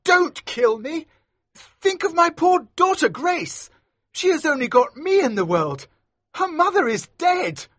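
Shrill, shaky, pompous magistrate. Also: male voice, sing-song, measured pace, a bit bright, no echo, exaggerated voice. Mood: terrified pleading. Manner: pompous, pleading. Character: frightened, haughty male magistrate begging for his life. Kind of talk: audiobook character acting.

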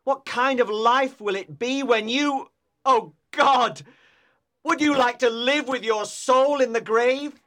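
A woman narrator plays a fierce, tormented man in love in a storybook. The delivery is slow and drawn out, anguished and full of drama. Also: medium pitch, expressive, close to an everyday voice. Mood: tormented, accusing.

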